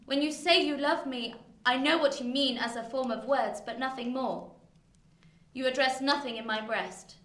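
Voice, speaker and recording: Cold young lady, high bright voice, haughty, detached, radio drama, echoey, off-mic. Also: moderate pace. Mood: cool, detached. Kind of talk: radio play acting. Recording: echoey room, distant from mic.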